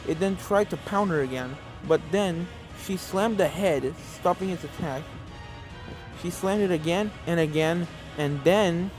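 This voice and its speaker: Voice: mid-range voice, a little mellow, rough recording. A narrator reading an adventure story expressively, occasionally stumbling and correcting the wording, with game music behind. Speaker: narrator of a fan fiction reading. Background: video game music.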